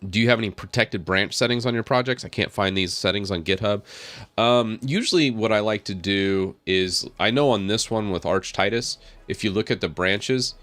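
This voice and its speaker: A man, slightly raspy from a head cold, talks casually on a live stream, thinking aloud as he works with lots of fillers and small laughs. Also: fairly low pitch, normal speed, a bit bright, soft background music. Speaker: tech streamer. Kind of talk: casual live stream talk while working on screen.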